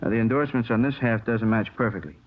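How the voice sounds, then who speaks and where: An American man playing a methodical police handwriting expert, explaining haltingly and checking that he is followed, in 1950s radio drama style. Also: fairly low pitch, a little hiss or hum, natural voice. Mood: absorbed, matter-of-fact. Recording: tinny old radio recording.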